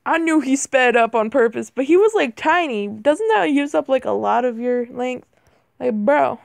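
A woman's voice: sits high, expressive, moderate pace, creaky, no room sound, studio quality. A woman chatting through a mobile game in a casual, flustered way, her voice cracking, saying "bro" and "like" constantly. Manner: self-deprecating, easily flustered. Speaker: gamer. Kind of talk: casual mobile gameplay commentary.

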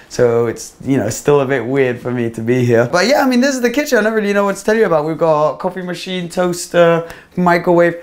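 A young man, giving a chatty, upbeat house tour as he walks around, speaking into a small clip-on mic. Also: a little high. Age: 20s. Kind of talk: casual walk-through vlog. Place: small rented house and garden, indoors and outdoors. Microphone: small clip-on mic on his shirt.

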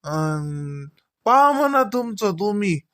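Guy doing an elderly village dad in a cartoon, slow and homely, rural varhadi marathi accent, dry close recording. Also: high-pitched, very animated, fairly bright tone, dry recording, exaggerated voice. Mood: concerned, advising. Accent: Varhadi Marathi from Vidarbha. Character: elderly village father, slow, protective of his daughter. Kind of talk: comedy cartoon dialogue.

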